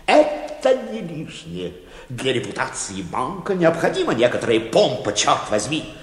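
A man's voice: medium pitch, huge pitch swings, unhurried. An actor playing a vain, self-pleased bank chairman in a radio play, pompous and fussily familiar in a theatrical way. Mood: boastful, pompous. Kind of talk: theatrical Russian radio drama acting. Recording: echoey hall with long reverb.

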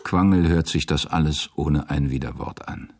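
A man's voice: rumbling low, moderate pace, darkish tone. A man giving scripted literary narration as the narrator of a radio drama.

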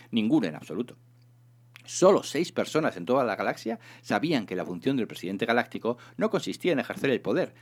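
A man narrating a humorous science fiction novel in an expressive, dramatic storytelling manner. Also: on the low side. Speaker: audiobook reader, narrator.

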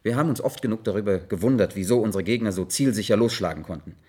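A man's voice: on the low side, fast, mellow, breathy edge. An actor playing an earnest, methodical male investigator in a German radio play, speaking formally, precisely and insistently. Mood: earnest, accusing.

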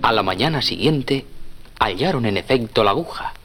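Narrator for children's stories: man, mid-range voice, animated reading, soft music behind. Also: medium pitch, normal speed. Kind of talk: scripted children's story narration.

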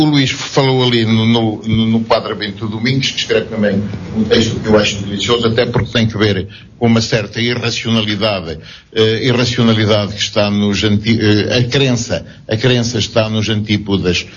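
A man's voice: on the low side, normal speed, a little hiss or hum. A man speaking up from the audience at a public debate, hesitant and repeating words as he builds his question. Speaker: audience member asking a question. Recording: dull lo-fi recording.

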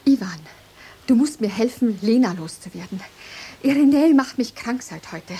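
Eine Schauspielerin spielt im Hörspiel ein zierliches, herrisches und eifersüchtiges Fräulein, das eindringlich spricht. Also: female voice, on the high side, expressive, moderate pace, warm tone, breathy edge. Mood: agitated scheming. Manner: haughty, intense. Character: imperious, jealous, delicate young mistress of a lighthouse. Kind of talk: radio drama acting.

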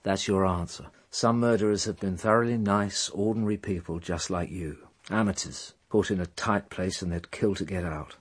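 An actor playing a world-weary, fatherly police inspector in a radio drama, a middle-aged man with a husky voice and a measured, probing manner. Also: sits low, steady tone. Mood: grave, explaining.

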